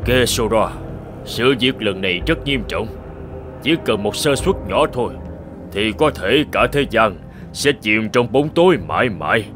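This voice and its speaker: A man plays an old sky god in a fairy-tale cartoon. He is authoritative and solemn, with expressive intonation over soft background music. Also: on the low side, a little slow. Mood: solemn warning. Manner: grave, commanding, instructive.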